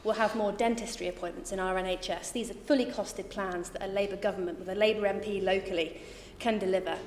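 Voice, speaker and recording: A woman's voice: medium pitch, fairly even intonation, quick pace, darkish tone, slight background noise. A woman from England standing as an election candidate, giving an upbeat campaign speech with frequent ums. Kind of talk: campaign speech at a public hustings. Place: church hall. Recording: echoey church hall, mic at a distance.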